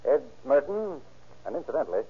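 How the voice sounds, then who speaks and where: An actor playing a seasoned, dry-witted sheriff in a 1930s radio drama, folksy but authoritative. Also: male voice, mid-range voice, sing-song, fairly quick, husky. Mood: briefing. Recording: tinny, band-limited 1930s radio recording.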